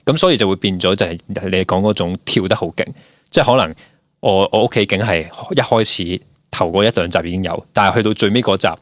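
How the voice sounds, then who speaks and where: A man's voice: low voice, fast, no echo, studio quality. A man with a Hong Kong accent hosting a conversational interview, explaining things and mixing in English words. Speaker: podcast host interviewing a guest.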